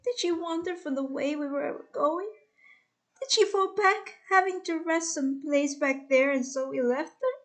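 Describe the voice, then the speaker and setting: A woman's voice: sits high, measured pace, pitched above the performer's own voice. A woman playing an anguished Trojan warrior who recounts loss in an epic poem, dramatic, emotional and urgent. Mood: distraught, alarmed.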